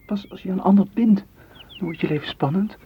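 A man's voice: high-pitched, fairly quick. An actor playing a possessive, wooing man in a radio drama, pressing and reproachful in manner. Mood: insistent. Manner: insistent, reproachful. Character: possessive, wooing suitor seeking warmth and closeness. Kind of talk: literary radio drama acting. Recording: dull, noisy radio play recording.

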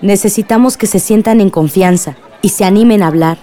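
Radio drama godmother played by a woman: mellow, earnest workshop leader, faint chatter of people behind. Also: medium pitch, brisk, natural voice. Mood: earnest, encouraging. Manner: organizing, earnest. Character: supportive godmother leading a women's workshop.

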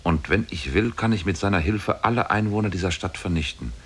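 A very low, husky male sorcerer demon from an old radio play. He speaks solemnly, with menace and devotion mixed, as the lovestruck captor of a woman. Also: fairly quick. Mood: boastful, confiding. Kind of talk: 1950s German radio drama acting.

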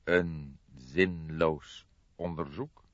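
A man voicing a calm, stubborn old police detective in an audiobook, weary and deliberate in his delivery. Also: extremely low pitch, no echo, dull audio, full character voice. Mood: deliberate, insistent. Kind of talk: audiobook character dialogue.

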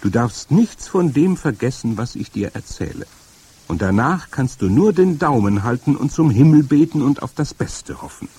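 A man's voice: medium pitch, sing-song, normal speed, warm tone, husky, no room sound, pitched above the performer's own voice. A man doing a stern old grandmother. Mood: solemn. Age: older. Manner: stern, solemn warnings. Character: very old, stout, wise grandmother.

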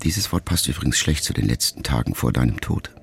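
An actor playing a melancholy, reflective writer son in a radio drama, speaking in measured, intimate, halting phrases as if to his mother. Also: a bass voice, sing-song, vocal fry, loud music behind the voice, heavily acted. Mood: somber, reflective.

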